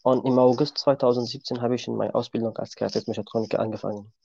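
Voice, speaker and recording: Man in his twenties, mellow voice, dull lo-fi recording. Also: mid-range voice, fairly even intonation, quick pace, gravelly, slightly rough, dry recording. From Syria. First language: Kurdish. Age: 20s. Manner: plain, simple sentences. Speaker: guest. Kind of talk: podcast interview.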